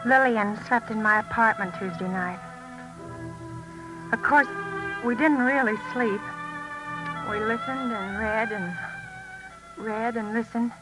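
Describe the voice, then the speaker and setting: An actress playing an earnest pilot's wife in a 1940s radio drama, narrating a letter intimately and reflectively. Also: female voice, on the high side, a bit bright, low-quality recording. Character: earnest wartime pilot's wife writing to her husband. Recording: old 1940s radio recording with music.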